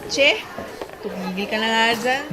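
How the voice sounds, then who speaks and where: Actress as a high-voiced expressive young Filipina, music behind. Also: female voice, a little slow, over a noisy crowd, lightly acted. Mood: playful, teasing. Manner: expressive, sentimental or playfully teasing. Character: spirited, teasing young female college best friend, looking back. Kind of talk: Filipino radio drama acting and narration. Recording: prominent music bed under voice.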